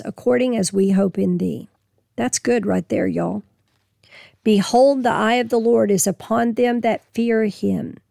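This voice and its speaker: Woman Bible teacher, hoarse and folksy, reads verses slowly, gravelly voice. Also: mid-range voice, a little mellow, dry recording, clean studio sound. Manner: folksy, reads scripture slowly then explains plainly. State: losing her voice. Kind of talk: casual Bible teaching with scripture reading.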